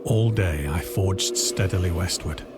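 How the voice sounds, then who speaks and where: A stranded, haunted man telling his tale of cosmic horror in a visual novel game. His voice is low and grave. He narrates in formal, measured, dramatic sentences over clearly audible music. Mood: brooding. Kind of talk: scripted dramatic first-person horror narration. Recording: voice over prominent background music.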